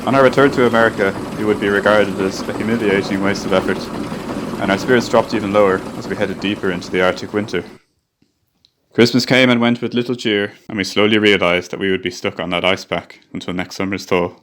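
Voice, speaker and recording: A man's voice: on the low side, fairly even intonation, fast, a bit bright, a little hiss or hum, natural voice. A man voicing a practical, earnest chief engineer in a radio play who also narrates and soothes the crew. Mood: narrating. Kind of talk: amateur radio drama acting.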